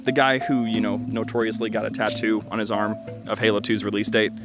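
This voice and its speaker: A man's voice: lowish voice, little pitch movement, fairly quick, loud music behind the voice. A man giving casual, enthusiastic video game commentary as a host, stressing points by repeating words.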